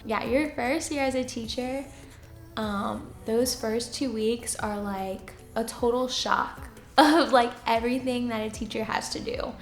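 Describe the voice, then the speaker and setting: A young woman talks in a soft, fairly high voice with a gravelly edge. She chats easily, filling pauses and laughing often. The room is echoey, with faint music behind her. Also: steady tone. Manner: chatty, laughs often, lots of fillers. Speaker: teacher answering viewer questions. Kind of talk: casual Q&A chat.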